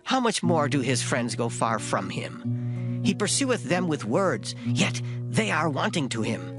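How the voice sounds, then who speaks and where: Expressive, higher-pitched man reading scripture. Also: a little slow. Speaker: scripture reader. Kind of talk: dramatized Bible reading. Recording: plucked guitar music behind voice. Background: plucked string music.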